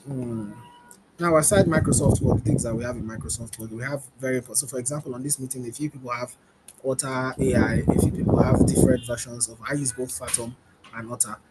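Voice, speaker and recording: A man, demonstrating software on a webinar panel, loosely repeating himself as he goes. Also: medium pitch, fairly even intonation, fast. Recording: loud background noise.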